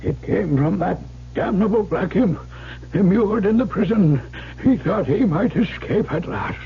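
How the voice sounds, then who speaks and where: Slow, halting dying old man. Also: high voice, lively intonation, gravelly, exaggerated voice. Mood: fearful, urgent. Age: older. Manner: halting, grave, urgent. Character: frightened dying rich uncle over ninety. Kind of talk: radio drama acting. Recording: noisy muffled old radio broadcast.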